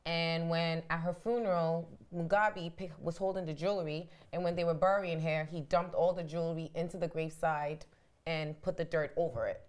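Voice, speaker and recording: A woman's voice: low voice, steady tone, moderate pace, smooth. A woman from Ghana co-hosting a casual panel chat, telling a long story with frequent restarts. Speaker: co-host.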